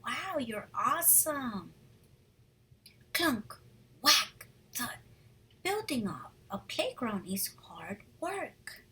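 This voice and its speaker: Woman, preschool teacher, picture-book read-aloud, slow pace, lively swooping pitch, husky, encouraging. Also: rough recording. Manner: animated, encouraging, addresses children directly. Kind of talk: read-aloud lesson with questions to young children.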